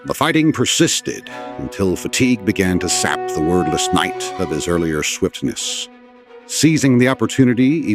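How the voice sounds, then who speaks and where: A man's voice: low voice, dry recording, clean studio sound. A man narrating a scripted legend as a dramatic storyteller, in a formal, literary and theatrical manner. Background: orchestral score.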